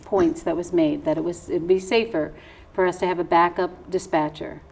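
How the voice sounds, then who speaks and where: An American woman hosts an interview in a slightly low voice. She puts questions conversationally, in unscripted everyday speech. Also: steady tone, moderate pace, creaky, a little mellow, breathy edge. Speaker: interviewer, host.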